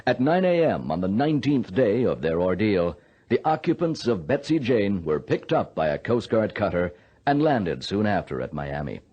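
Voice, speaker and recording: An actor playing an earnest air control operator, delivering dramatic radio narration. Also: male voice, on the low side, big pitch swings, normal speed, dark tone, slightly rough, highs cut off. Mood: grave. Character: earnest male air control operator recounting a sea rescue.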